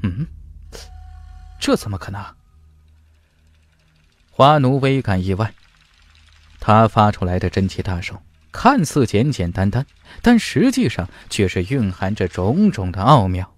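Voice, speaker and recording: A man's voice: on the low side, sing-song, normal speed, dry recording. A man narrating a fantasy audiobook in a dramatic scripted storytelling style. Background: faint music.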